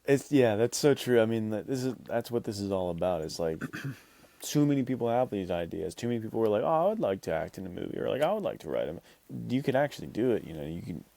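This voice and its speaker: A man's voice: on the low side, big pitch swings, quick pace, vocal fry, warm tone. A man hosting a podcast in casual chat, talking in a rambling, encouraging way peppered with "like". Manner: rambling, encouraging, heavy use of "like". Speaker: podcast host.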